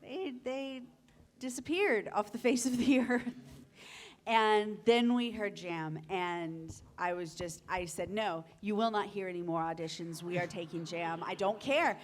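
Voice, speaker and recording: A woman's voice: medium pitch, a little slow. A woman speaking casually into a microphone as a voice actress on a convention panel. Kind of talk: casual anecdote to an audience. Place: convention panel room, indoors. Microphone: handheld microphone.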